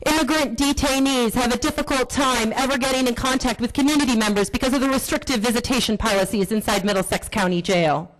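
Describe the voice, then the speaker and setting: A woman speaking at a county board meeting, delivering an impassioned public comment in forceful, accusatory, repetitive rhetoric. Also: fairly high pitch, a little hiss or hum, low-quality recording.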